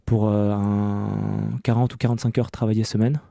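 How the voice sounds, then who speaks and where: A man in his early thirties from France, an interview guest telling personal stories conversationally in a hesitant, halting way that often doubles back on words. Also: fairly low pitch, level tone, mellow, no room sound, clean studio sound. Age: early 30s. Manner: hesitant, halting delivery with many self-repetitions. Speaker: interview guest, clothing maker.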